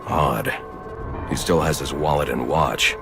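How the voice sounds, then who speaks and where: An actor playing a grim, stern vigilante detective in a video game, speaking in a low gravelly growl with clipped, deadpan, analytical delivery. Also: male voice, a little slow, over music, heavily acted. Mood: grim, focused.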